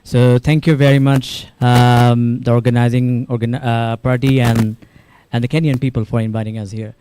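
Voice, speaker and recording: A man's voice: medium pitch, little pitch movement, normal speed, mellow, slight background noise. A young man gives an informal conference talk, searching for words with fillers and repeated words. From Bangladesh. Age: young adult. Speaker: panel speaker. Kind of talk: speech at a conference.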